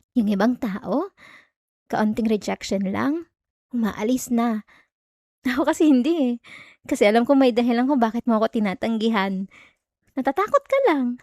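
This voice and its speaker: A woman plays a high-voiced yandere stalker in an ASMR roleplay, recorded close and dry. She is possessive and sweetly menacing, coaxing her listener with a giggly, halting delivery. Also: darkish tone, dry recording. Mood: pleading, giddy. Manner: coaxing, giggly, veiled threats, halting stammers.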